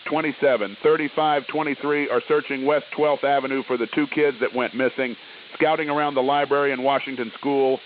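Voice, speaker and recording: A man's voice: medium pitch, fairly even intonation, a little fast. A man playing a police officer reporting a search over the radio in an amateur audio drama. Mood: businesslike. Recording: tinny narrow radio sound, faint hiss.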